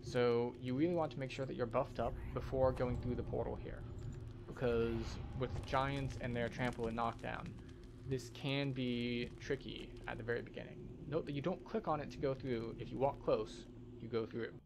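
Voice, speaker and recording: A man's voice: medium pitch, fairly even intonation, normal speed, gravelly, noisy background. A man hosting a video game playthrough, giving commentary as he plays. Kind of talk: gameplay commentary and reading quest text aloud.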